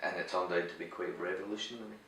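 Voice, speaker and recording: A Scottish man with a Scottish accent, a company director answering interview questions in a brisk, matter-of-fact way with dry humor. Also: low-pitched, steady tone, sharp tone, slight background noise. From Scotland. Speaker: managing director. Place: glassworks showroom. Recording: echoey room, voice a bit far from mic.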